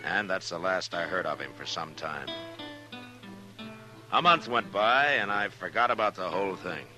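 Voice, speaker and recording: A deep, low-voiced lawman of the Old West, laconic and plain-spoken. He sounds like 1950s radio drama, with music in the old broadcast. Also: lively intonation, moderate pace, pushed and bright, exaggerated voice. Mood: grave, reflective. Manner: terse, plain-spoken, understated. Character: frontier marshal, stern, laconic, dryly wry man. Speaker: narrator and lead character. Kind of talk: 1950s radio western acting.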